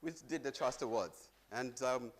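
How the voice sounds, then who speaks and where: A man's voice: medium pitch, normal speed. Zambian guy talking at a conference, chatty, cracks jokes with the crowd, on a stage mic. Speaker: conference speaker. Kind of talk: conference presentation.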